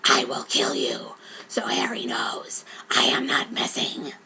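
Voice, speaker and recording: A woman voicing a menacing male broom out for revenge, in a slow, harsh, breathy whisper for a dramatic storybook reading. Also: a bass voice, pushed and bright, voice pushed down, heavily acted. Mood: threatening. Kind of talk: dramatic fan fiction reading.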